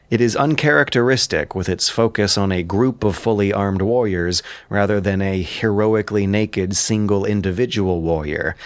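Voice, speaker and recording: Male commentator, lower register, articulate and bookish scripted narration with wry asides, dry close-miked sound. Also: no echo. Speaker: writer and narrator of an audio drama.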